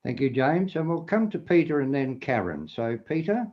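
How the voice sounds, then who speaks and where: A man's voice: mid-range voice, sing-song, normal speed. A man moderating a town hall, giving brief handovers between speakers. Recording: distant, muffled.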